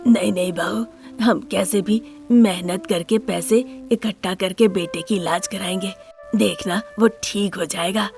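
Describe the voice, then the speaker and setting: A woman's voice: medium pitch, fairly even intonation, a little slow, vocal fry, a little mellow, soft background music, pitched below the performer's own voice. A woman voicing a caring, worried older parent-in-law from a poor family in a moral story. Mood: reassuring.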